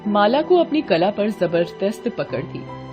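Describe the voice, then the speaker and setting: A woman's voice: mid-range voice, big pitch swings, a little slow, dull audio, over music. A woman narrating a children's horror tale in a dramatic, scripted storytelling style.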